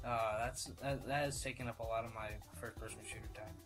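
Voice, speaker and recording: A man's voice: mid-range voice, steady tone, fairly quick, a bit bright, low-quality recording. Young man, casual podcast host, rambling, laughs and swears, music behind. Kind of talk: casual chat.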